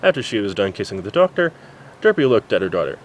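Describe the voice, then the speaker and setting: A man's voice: fairly low pitch, sing-song, fast, slight background noise, poor audio. A man narrating a story as an amateur reader, stumbling over words now and then. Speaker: amateur fan fiction reader. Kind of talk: story narration, reading aloud.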